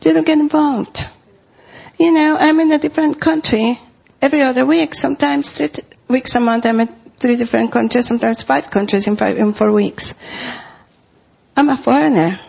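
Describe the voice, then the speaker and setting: A woman's voice: high voice, moderate pace, creaky, darkish tone, slightly rough, highs cut off. A middle-aged woman from Costa Rica with a Spanish accent, preaching a sermon in an earnest way and repeating phrases for emphasis.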